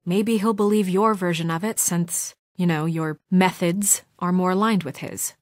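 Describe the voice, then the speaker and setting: A woman voicing a poised, stern young woman in authority in a video game drama, speaking in an earnest, measured, formal way. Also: fairly low pitch, fairly even intonation, darkish tone, no room sound, clean studio sound, natural voice. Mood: exasperated, firm. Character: poised, stern young woman leading a troubled town government.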